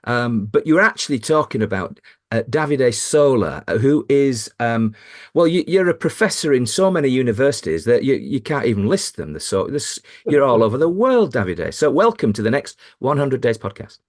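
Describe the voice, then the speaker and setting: Joking British podcast host. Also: male voice, medium pitch, very animated, normal speed. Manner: joking, rambling with restarts. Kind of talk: casual interview chat.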